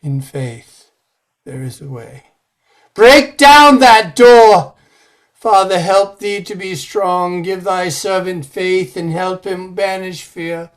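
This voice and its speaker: A man plays a devout old servant in a radio horror drama. His high voice moves slowly and gravely, with fearful, prayerful solemnity. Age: older. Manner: solemn, prayerful, ominous, deliberate.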